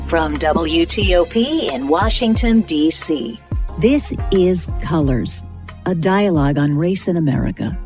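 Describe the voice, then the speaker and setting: A woman announcing radio promos in a crisp promo delivery. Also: fairly low pitch, lively intonation, measured pace, music in the background.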